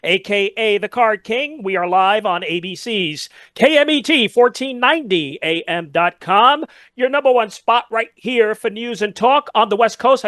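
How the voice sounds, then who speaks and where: High-voiced upbeat radio host man. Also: fairly bright tone, dry recording. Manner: upbeat announcer delivery. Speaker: radio talk show host. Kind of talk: live radio interview.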